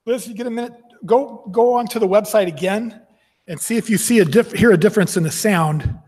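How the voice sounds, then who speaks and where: A high-pitched man with a husky edge talks loosely from a stage, asking the crowd about the sound. His voice rings with a long hall reverb. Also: a little fast, a little mellow. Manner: chatty, testing sound with audience. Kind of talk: casual live event talk.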